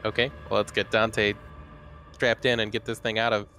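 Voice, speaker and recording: A man's voice: fairly low pitch, flat delivery, normal speed, sharp tone, faint music behind. A man chatting casually as a player in a tabletop roleplaying game.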